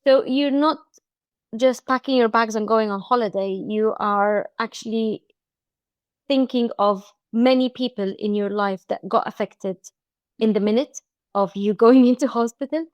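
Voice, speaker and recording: Egyptian woman telling a story haltingly. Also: a little high, dry recording, muffled recording. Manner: halting, frequent restarts and fillers. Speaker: podcast guest. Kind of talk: casual interview chat.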